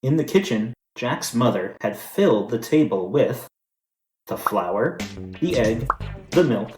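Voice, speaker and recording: A teacher reading a picture book aloud, taking his time over every line. His voice sits at a middle pitch. The room sounds roomy, and music plays behind him. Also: big pitch swings, darkish tone. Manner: slow, deliberate storytelling. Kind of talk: storybook read-aloud.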